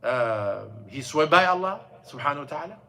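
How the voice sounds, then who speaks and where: Bright-voiced man telling a story. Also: on the high side, expressive, gravelly, a little hiss or hum. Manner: storytelling, halting with many fillers and restarts. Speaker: imam. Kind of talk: mosque class talk. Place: mosque classroom.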